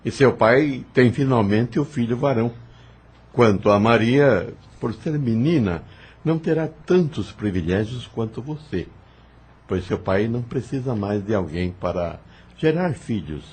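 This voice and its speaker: Spirit mentor, male actor: solemn, formal, patient teacher, heavily rasped husky voice, expressive intonation, audio drama. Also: medium pitch, measured pace. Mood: calm, frank. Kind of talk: audio novel acting.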